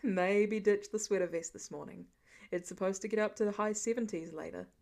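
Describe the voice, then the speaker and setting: A woman voicing an affectionate, easygoing middle-aged father with a low-key, wry manner. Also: fairly quick, darkish tone, lightly acted. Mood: wry, fond.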